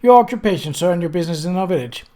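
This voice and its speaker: A man's voice: high voice, animated, very fast, mellow. A man doing a firm, proper older aunt. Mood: brisk. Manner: firm, matter-of-fact.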